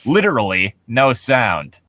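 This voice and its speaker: A man with a middling-pitched voice co-hosts in a casual, joking style. He is irreverent and swears freely as he reads and comments on stories. The recording is close and dry, with very little room sound. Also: sing-song, unhurried, sharp tone, no room sound. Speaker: co-host.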